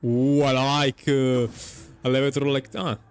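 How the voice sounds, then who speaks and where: A man's voice: medium pitch, level tone, measured pace, clean tone, dry recording. A man giving casual, joking let's-play commentary as he reacts to a video game. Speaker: gaming streamer. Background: faint game music.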